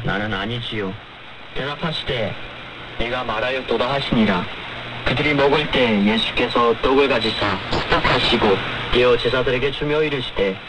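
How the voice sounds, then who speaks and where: Clear shortwave noise surrounds a man with a mid-pitched voice. He reads in a solemn, formal way, with measured phrasing, like the announcer of a religious program. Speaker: scripture reader and program announcer. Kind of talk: solemn scripture reading and formal introduction. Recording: noisy shortwave radio broadcast.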